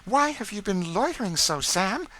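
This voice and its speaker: A man's voice: high voice, sing-song, normal speed, bright, forceful tone, voice pushed up, full character voice. A man voicing a stern, proper lady of the house in an audiobook, firm and admonishing.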